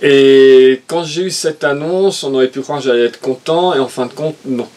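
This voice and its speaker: A middle-aged man co-hosting a casual chat, talking in an animated, opinionated way while gesturing. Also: medium pitch, moderate pace. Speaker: co-host, father. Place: room with shelves of toys and merchandise.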